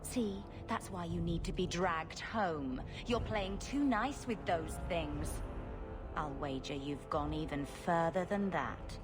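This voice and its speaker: A woman's voice: fairly low pitch, slow, over music. A woman playing a brash, callous mercenary leader in video game dialogue. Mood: gloating.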